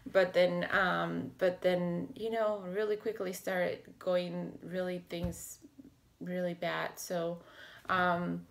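A woman in her thirties talking to the camera in a casual home vlog, slightly hoarse and raspy, with many fillers and restarts. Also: fairly low pitch, little pitch movement, slow. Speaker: health vlogger. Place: small home office with bookshelves, indoors. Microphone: webcam or laptop camera at desk.

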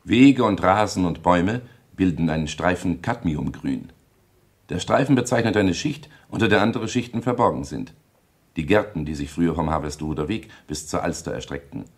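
A man playing a detached narrator in a literary radio play, describing in a husky voice. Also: sits low, animated, normal speed, full character voice. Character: detached male narrator describing places and bodies.

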